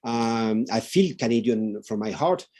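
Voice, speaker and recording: A man from Belgium speaks English with a French accent in a mellow, relaxed voice. He puts an earnest question to a panel over a video call, pausing to find the right words. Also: medium pitch. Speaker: researcher, panel participant. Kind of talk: online roundtable discussion.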